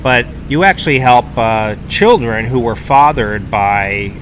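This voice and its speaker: A man in his fifties hosting an interview, talking casually with many pauses and fillers. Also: medium pitch. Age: 50s. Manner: relaxed, hesitant with many fillers. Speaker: host. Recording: noisy background with traffic.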